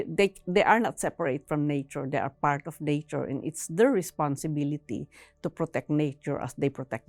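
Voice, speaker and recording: Woman, interviewee, low voice, measured and halting explanatory delivery, very dry close sound. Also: a little mellow, no room sound. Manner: measured, halting, ending phrases with a tag "no?".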